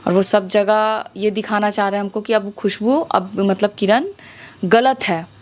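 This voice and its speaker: A woman's voice: medium pitch, steady tone, a little slow. A woman with a Bihari accent giving her account as an interview guest, indignant and challenging, often using rhetorical questions. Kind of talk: conversational interview testimony.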